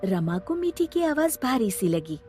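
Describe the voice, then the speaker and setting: A woman storyteller giving an expressive, dramatic scripted narration of a children's story. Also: a little high, unhurried, over loud music.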